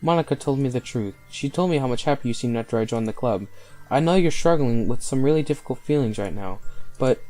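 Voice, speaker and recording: Man as earnest game schoolboy; mellow, gentle, reassuring, faint music behind. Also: mid-range voice, lively intonation, fast talker, close to an everyday voice. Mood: earnest reassurance. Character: earnest, troubled teenage schoolboy.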